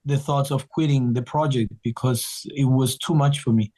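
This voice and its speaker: A man's voice: mid-range voice, steady tone, moderate pace, warm tone. A man from Ecuador, a guest talking earnestly and in loose rambling sentences in a casual interview. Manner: earnest, rambling, with frequent restarts. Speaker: guest musician.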